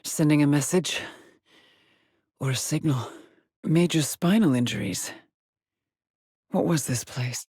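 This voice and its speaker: An actress playing a weary, determined lone space explorer in a video game, with a measured, solemn, haunted delivery. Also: female voice, low-pitched, dark tone, breathy edge, no echo, clean studio sound, full character voice. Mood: somber, resolute. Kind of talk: dramatic game voice lines, short log entries.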